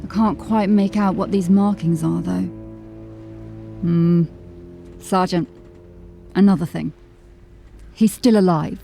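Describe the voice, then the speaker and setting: A woman's voice: on the low side, steady tone, slow pace, vocal fry, long pauses, warm tone. An actress playing a practical, matter-of-fact woman officer of a city watch. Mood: clinical. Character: steady female watch officer, level-headed. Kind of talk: audio drama acting. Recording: music bed under the voice. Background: music.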